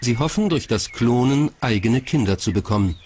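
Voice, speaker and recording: A man's voice: mid-range voice, expressive, moderate pace, background noise. A man reading scripted documentary narration as a narrator.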